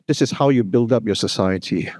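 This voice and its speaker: A man's voice: fairly low pitch, a little fast, a little mellow, no room sound, studio quality. Earnest man giving a speech. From Singapore. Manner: earnest, emphatic, with frequent fillers like "you know" and "actually". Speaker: conference speaker giving an opening address. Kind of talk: formal public address. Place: hotel conference hall.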